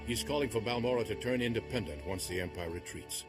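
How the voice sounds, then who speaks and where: An actor playing an earnest, respectful Jedi envoy in a video game, speaking politely and formally. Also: male voice, on the low side, fast, over music, close to an everyday voice.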